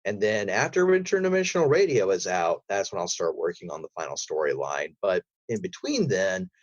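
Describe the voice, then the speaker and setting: A man's voice: deep voice, big pitch swings, a little fast. A man speaking as a podcast guest, giving advice in casual, emphatic, rambling explanations. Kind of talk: casual interview chat with advice. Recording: roomy, slightly dull audio.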